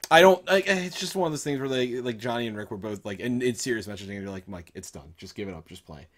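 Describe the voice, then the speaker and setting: A man's voice: medium pitch, animated, quick pace, a bit bright. A man co-hosting a podcast, chatting in an informal, rambling way. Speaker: podcast co-host. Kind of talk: casual chat.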